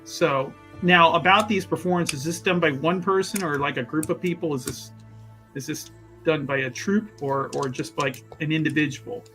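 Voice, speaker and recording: A man with a fairly high voice talks through his moves in a tabletop roleplaying game. He is wordy and casual, reworking his phrases as he thinks aloud. Soft music plays behind him. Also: normal speed.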